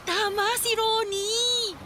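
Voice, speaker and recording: A woman's voice: very high voice, fairly even intonation, very slow, a loud crowd, full character voice. An actress playing a playful, cheeky young woman who teases and exclaims in a radio drama. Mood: teasing. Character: teasing, cheeky young woman, the heroine's cousin. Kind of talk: Tagalog radio drama acting.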